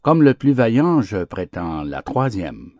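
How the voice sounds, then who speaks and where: A man's voice: fairly low pitch, big pitch swings, measured pace, warm tone, no room sound, clean studio sound. A man voicing a proud, bullying lion lord in a fable, pompous and threatening in manner. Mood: imperious, threatening. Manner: imperious, pompous.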